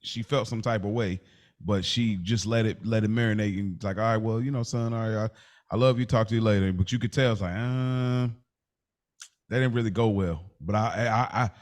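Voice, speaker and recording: An American man co-hosting a podcast, debating casually and probing with questions, often rephrasing in a halting way. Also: on the low side, steady tone, darkish tone. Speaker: podcast co-host.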